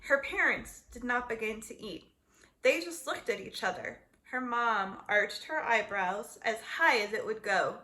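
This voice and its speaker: A woman narrating a children's book aloud, expressively and with deliberate emphasis. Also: fairly high pitch, lively intonation, pushed and bright. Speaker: read-aloud storyteller.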